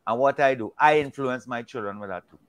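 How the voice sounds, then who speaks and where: A man's voice: mid-range voice, quick pace. An older man from Trinidad with a Trinidadian accent, talking casually about faith on a video call, often repeating words as he goes. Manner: rambling, repeats words, folksy. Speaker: discussion guest. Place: indoors at home, virtual backdrop. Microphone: video call webcam.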